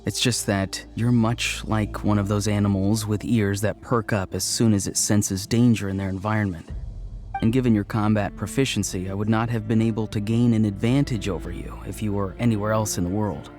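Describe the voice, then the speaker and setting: An actor playing a calm, courteous young shamanic schemer in a video game, speaking formally and with measured politeness. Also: male voice, lowish voice, natural voice. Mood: apologetic, controlled. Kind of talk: dramatic game voice acting. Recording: faint game music behind the voice.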